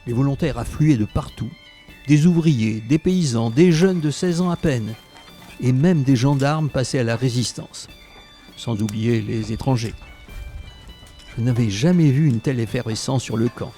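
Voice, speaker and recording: An actor playing a reflective former resistance fighter recounting wartime memories in literary, scripted narration. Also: male voice, medium pitch, normal speed, warm tone, soft background music, close to an everyday voice. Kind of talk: scripted narration in an audio drama.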